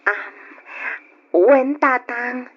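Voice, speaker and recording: A woman's voice: on the high side, drawn-out words, lo-fi sound. An actress playing a young female student, coy and playful, in an old radio drama. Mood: dutiful.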